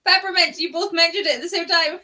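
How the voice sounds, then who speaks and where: Bright-voiced woman gushing in casual chat. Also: very high-pitched. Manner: gushing, sweary, enthusiastic fan talk. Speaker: book club live show host. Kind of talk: casual chat about books.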